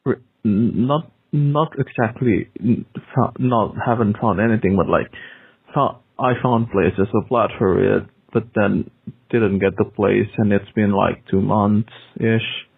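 A man whose first language is Indonesian leaves a halting, rambling voicemail full of restarts. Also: lowish voice, gravelly, slightly rough, dry recording. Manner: halting, many restarts and fillers. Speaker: caller leaving a voicemail. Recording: tinny phone line.

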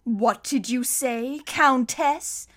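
A woman voicing a menacing, scheming male count in an audiobook, with a loud, drawn-out, sneering delivery. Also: high voice, exaggerated voice. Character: menacing theatrical villain count, adult man, scheming and angry. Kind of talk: audiobook character voice.

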